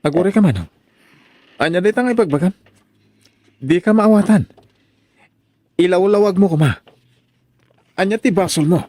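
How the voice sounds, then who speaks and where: A man's voice: high voice, normal speed, pauses often, dark tone, no room sound. A man playing an earnest, pleading father searching for his lost son in an audio drama. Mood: alarmed. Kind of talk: amateur audio drama acting.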